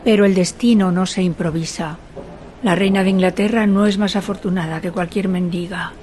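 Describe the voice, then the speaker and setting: Radio drama lead: a woman as a mournful, regal queen in exile, formal and lamenting, medium pitch, noise behind. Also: steady tone, normal speed, a little mellow, natural voice. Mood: sorrowful, solemn. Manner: solemn, literary, lamenting. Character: sorrowful exiled queen recalling her youth, dignified. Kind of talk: radio drama monologue, old radionovela style.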